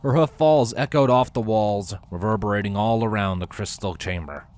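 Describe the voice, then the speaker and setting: A man reading a story aloud as an expressive narrator. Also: on the low side, moderate pace. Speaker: fan fiction reader.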